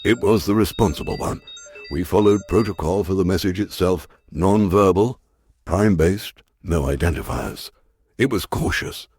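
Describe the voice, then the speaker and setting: A man playing an earnest, resolute science professor in a sci-fi audio drama, with a husky voice and deliberate delivery. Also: low voice, darkish tone, no echo, soft background music. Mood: defensive, resolute.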